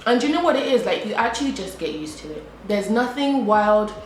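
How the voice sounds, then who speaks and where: A woman's voice: mid-range voice, big pitch swings, a little fast. Young British woman, casual vlogger, roomy echo, faint hum behind. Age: young adult. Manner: chatty, repeats herself, frequent "like". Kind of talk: casual chat to camera while eating. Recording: echoey room with faint background hum.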